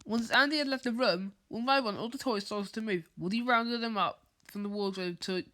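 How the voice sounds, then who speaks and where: A woman reading a children's bedtime story aloud, haltingly, stumbling over and repeating words as she goes. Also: fairly high pitch, a bit bright, a little hiss or hum. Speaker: storybook reader.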